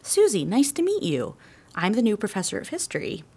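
A woman's voice: mid-range voice, wildly swooping pitch, fairly quick, mellow, breathy edge, exaggerated voice. A woman playing a kindly, courteous female history professor in an audio drama. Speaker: student actor.

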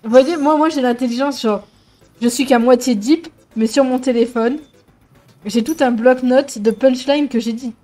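A woman's voice: high-pitched, normal speed, mellow, faint music behind. A young woman co-hosting a live stream, teasing and joking in casual chat. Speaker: stream co-host.

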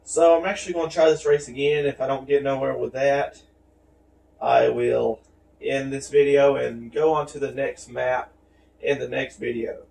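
A man's voice: mid-range voice, little pitch movement, moderate pace, vocal fry, a little mellow. An American man with a slightly scratchy voice gives casual, folksy commentary while playing a racing game. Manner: folksy, colloquial. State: scratchy voice from shouting and allergies. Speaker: gaming channel host.

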